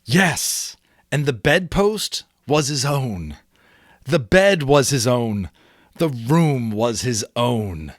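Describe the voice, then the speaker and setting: Casting: male storyteller, unhurried pace, lively intonation, dramatic audiobook reading in a dry, close sound. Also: medium pitch, no room sound. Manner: theatrical, expressive. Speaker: audiobook reader and host. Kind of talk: dramatic storybook narration with casual asides.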